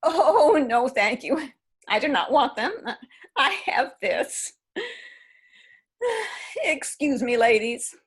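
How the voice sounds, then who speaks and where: A woman reading the part of a frail elderly widow, gentle and shaky, in a readers theatre play. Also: sits high, very animated, drawn-out words, pauses often, heavily acted. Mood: moved.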